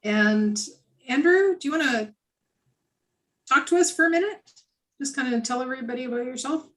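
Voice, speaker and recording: A woman chairing a committee meeting over a video call, friendly and halting, with many fillers and restarts. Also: high-pitched. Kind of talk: casual meeting chat.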